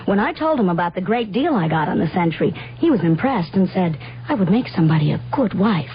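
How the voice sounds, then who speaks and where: A woman's voice: lowish voice, big pitch swings, fast talker. An actress playing a confident modern woman in a radio commercial, telling her story wryly. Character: confident, wry modern career woman car buyer. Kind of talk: 1970s radio commercial monologue. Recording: muffled radio recording, faint hum.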